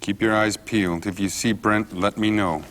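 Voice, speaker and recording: An actor playing a goofy, self-important small-town cop with a deadpan manner, at a sitcom read-through. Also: male voice, lowish voice, moderate pace, lightly acted. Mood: officious. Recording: reverberant room, some background noise.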